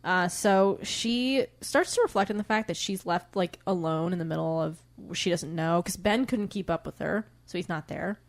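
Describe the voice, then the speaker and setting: A woman's voice: lowish voice, normal speed, few pauses. Chatty, joking woman podcaster. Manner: chatty, jokey, peppers talk with asides. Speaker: podcast co-host. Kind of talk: casual bantering book recap chat.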